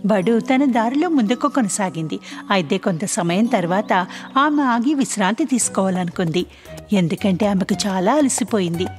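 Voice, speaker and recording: A woman narrator telling a scripted children's story in a clear storytelling manner. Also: mid-range voice, expressive, moderate pace, soft background music.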